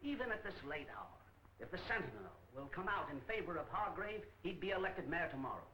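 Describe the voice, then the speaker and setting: An actor playing an earnest, urgent judge and civic reformer in a 1940s film serial. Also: male voice, on the high side, sing-song, a little fast, pushed and bright. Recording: roomy, faintly noisy old film soundtrack.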